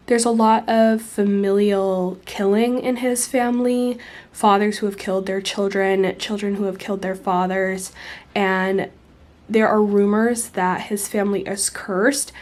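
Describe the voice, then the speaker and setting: A woman's voice: medium pitch, fairly even intonation, a little slow, darkish tone. A young woman in her twenties, still congested from being sick, chatting casually about a book she's reading, recorded close on a phone. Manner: chatty, explains at length. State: congested, recovering from illness. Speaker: book vlogger. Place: indoors, lying against pillows. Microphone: phone camera held close.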